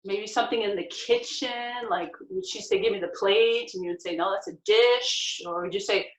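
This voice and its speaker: An American woman in her forties hosting a casual video-call interview, asking animated, chatty questions. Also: medium pitch, normal speed. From United States. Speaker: host. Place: home room with bookshelf. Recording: echoey, dull video-call audio.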